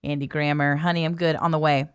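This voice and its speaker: A woman's voice: deep voice, little pitch movement, fast talker, clear, resonant tone. A woman hosting morning radio on a music station, presenting live in a casual, chatty and giggly way. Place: local radio studio.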